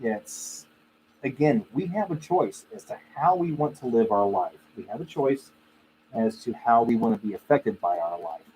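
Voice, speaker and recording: A man's voice: medium pitch, lively intonation, moderate pace, mellow. Male livestream host, mid-range voice, casual opinionated storyteller, slightly noisy live recording. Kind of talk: casual chat with live viewers. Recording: clearly noisy live stream audio.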